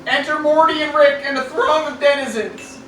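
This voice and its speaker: A woman's voice: high-pitched, normal speed, a bit bright. A woman reading out terse stage directions as the narrator of an amateur radio play. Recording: very echoey, distant room, faint noise.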